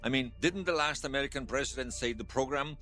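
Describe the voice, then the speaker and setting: A man's voice: mid-range voice, quick pace, bright, forceful tone, faint music behind, natural voice. An actor playing a wry, folksy Bosnian man who tells his past in an audio drama interview. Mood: wry, animated. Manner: wry storytelling with colourful folk sayings. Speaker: storyteller being interviewed.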